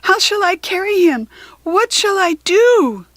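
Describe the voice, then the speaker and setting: A woman voicing an earnest, excitable mother kangaroo in a storybook, pleading and emphatic. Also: very high voice, measured pace, dry recording, pitched above the performer's own voice, exaggerated voice. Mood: distressed, pleading. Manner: pleading, wide-eyed, emphatic.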